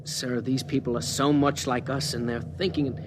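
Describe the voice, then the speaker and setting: An actor playing an earnest, deferential ship's communications officer in a 1950s radio drama. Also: male voice, mid-range voice, steady tone, moderate pace, natural voice. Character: earnest young male ship communications officer. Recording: 1950s radio broadcast with faint hiss.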